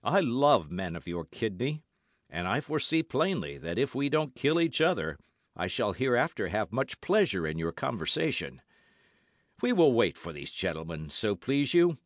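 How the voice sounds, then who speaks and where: A man acts out a gentlemanly musketeer of an adventure novel, proud and a little weary of the world. He speaks formally and with measured grace, in a lively, close, dry recording. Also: medium pitch, no echo, close to an everyday voice. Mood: gracious, dry.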